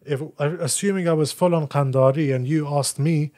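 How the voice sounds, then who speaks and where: A man talking as a podcast guest, explaining his views in an animated, blunt and casual way. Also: a little high, normal speed. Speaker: podcast guest, article writer. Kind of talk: casual opinionated discussion.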